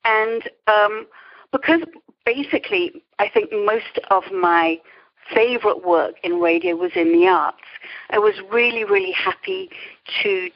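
A woman's voice: medium pitch, unhurried. A middle-aged woman with an English accent, telling her story in a reflective, articulate, conversational way as an interview guest. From England. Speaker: interview guest, creativity coach. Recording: muffled lo-fi call audio.

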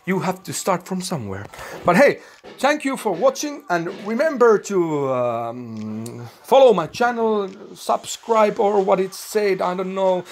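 A young man from Finland, speaking English with a Finnish accent as his second language, commenting excitedly and swearing while recording a mobile game. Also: sits high. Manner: swearing, excitable, thinking aloud, broken English. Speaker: gaming channel host.

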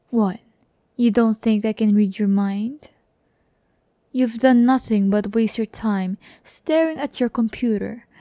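ASMR roleplay: vain, condescending young female demon, played by a woman, gravelly fry, close dry mic. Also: fairly high pitch, warm tone, dry recording. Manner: slow, condescending, teasing, often dropping to a whisper. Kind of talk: breathy ASMR audio roleplay. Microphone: close microphone, dry sound.

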